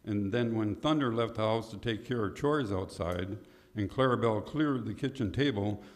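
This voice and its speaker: A man's voice: on the low side, normal speed, close to an everyday voice. A man playing a dramatic, declamatory announcer narrating a 1950s-style Western radio serial. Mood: suspenseful.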